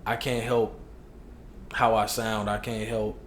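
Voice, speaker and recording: A young man with a Chicago accent talks in a low, easygoing voice, dropping slang as he tells candid stories from his life. He sounds like a podcast guest, recorded in an echoey room with a faint hiss behind him. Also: slow. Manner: relaxed, slangy, candid storytelling. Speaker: hip hop artist guest. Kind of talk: casual podcast interview.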